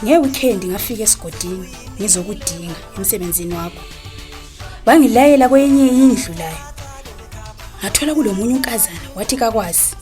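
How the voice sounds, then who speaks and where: A woman's voice: medium pitch, animated, brisk, warm tone, faint music behind, natural voice. A woman with a Zimbabwean accent acting a wronged, fed-up wife in a radio drama, probing and reproachful.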